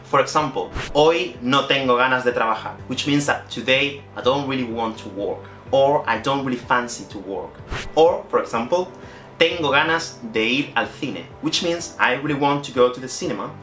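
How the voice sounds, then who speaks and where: A man's voice: medium pitch, fairly bright tone, echoey room. A man with a Spanish accent, a native Spanish speaker, teaching a language lesson as an online video presenter, explaining step by step with examples. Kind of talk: instructional video presentation to camera.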